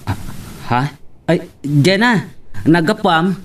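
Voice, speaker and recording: A man's voice: fairly high pitch, takes it slowly, a bit bright. A man playing an easygoing young boyfriend in an audio drama, with an Ilocano accent. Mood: casual, waiting. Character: easygoing young boyfriend waiting for his girl.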